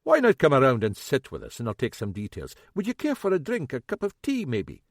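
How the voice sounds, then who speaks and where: Man doing a brisk Scottish detective inspector. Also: mid-range voice, wildly swooping pitch, dry recording, pitched above the performer's own voice. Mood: brusque. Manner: dry, brisk, commanding. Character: shrewd middle-aged Scottish male detective inspector.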